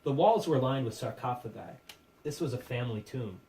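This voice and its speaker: A man narrating a puppet show as dramatic storytelling, in a measured, theatrical, literary manner. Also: medium pitch, expressive, mellow. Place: live puppet show performance, room. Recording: distant microphone with faint room noise.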